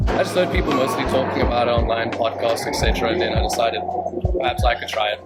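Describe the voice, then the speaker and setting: Fast-talking eighteen-year-old man. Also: medium pitch, steady tone, over loud music. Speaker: interviewee. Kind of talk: casual street interview.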